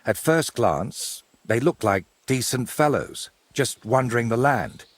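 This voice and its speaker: Husky middle-aged male painter for a medieval game: courteous, wry, florid, very animated intonation. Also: mid-range voice, measured pace, no room sound. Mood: aggrieved. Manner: theatrical, wordy, courteous. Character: wry, wordy ageing medieval painter, robbed but polite. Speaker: video game character. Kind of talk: game cutscene dialogue.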